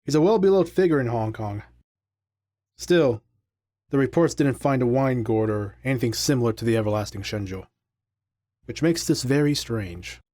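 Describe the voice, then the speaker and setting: A man plays a confident, wry leader of adventurers in an audio drama. His mid-pitched voice is measured and articulate, recorded dry. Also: darkish tone, no room sound, close to an everyday voice.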